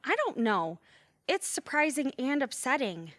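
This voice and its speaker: A woman's voice: sits high, very animated, unhurried, a bit bright, no echo, studio quality, exaggerated voice. A woman playing a hurt, wary adult daughter in an audio drama, earnest and emotional. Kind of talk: audio theatre acting.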